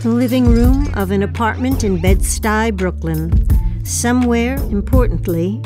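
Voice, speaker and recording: A woman's voice: fairly high pitch, a little slow. A woman with an American accent reading a scripted introduction and stage directions as a radio drama announcer. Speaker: announcer and narrator. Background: music.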